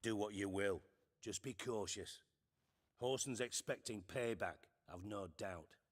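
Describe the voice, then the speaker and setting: A man's voice: fairly low pitch, unhurried. An actor playing a scheming, sardonic male spymaster in a game cutscene, delivering dry, menacing lines.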